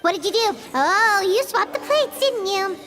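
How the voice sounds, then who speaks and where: A woman voicing a tiny, childlike, excitable and easily indignant fairy companion in a video game, with a squeaky voice. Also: measured pace, very noisy. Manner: refers to self in third person, blunt. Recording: long reverb, faint music behind.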